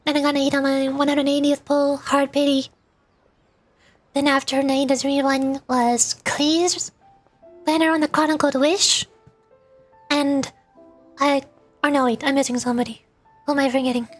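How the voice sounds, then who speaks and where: A woman's voice: high-pitched, level tone, normal speed, pauses often. A woman hosting a gaming livestream, chatting casually to viewers in a rambling, playful way. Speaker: livestream host, VTuber. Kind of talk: casual chat to stream viewers while gaming. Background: background music.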